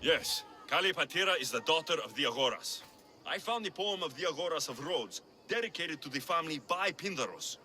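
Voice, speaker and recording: An actor playing a young, skeptical Greek mercenary hero in a video game, wry and matter-of-fact. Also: male voice, a little high, lively intonation, a little fast, bright, forceful tone. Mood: earnest. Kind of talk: video game dialogue acting.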